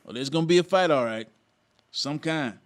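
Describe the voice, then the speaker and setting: A man playing a folksy, excitable marshal's sidekick in a western radio drama. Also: a little high, moderate pace, background noise, natural voice. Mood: excited. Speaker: actor in a radio theater.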